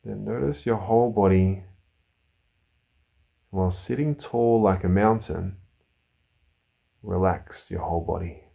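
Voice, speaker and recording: A man leading a guided meditation as a mindfulness teacher, giving calm, soothing, unhurried instructions with pauses. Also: sits low, gravelly.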